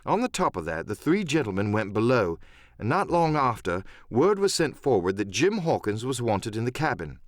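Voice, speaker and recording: A man's voice: mid-range voice, very animated, moderate pace, dry recording, clean studio sound. A man narrating an adventure story as an audiobook, in a dramatic, scripted storytelling style.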